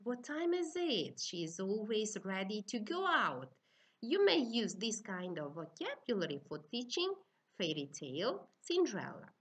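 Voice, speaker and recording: A middle-aged woman with an Uzbek accent, lecturing to trainee teachers in simple, halting, instructive phrases. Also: mid-range voice, very animated, poor audio. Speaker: university teaching-methods lecturer.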